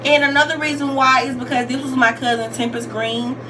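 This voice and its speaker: A woman's voice: high-pitched, level tone, brisk, noisy background. A woman in her twenties from Georgia with a Southern US accent, talking casually and repetitively in slang as she answers questions for a vlog. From Georgia, United States. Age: 20s. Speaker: YouTube vlogger.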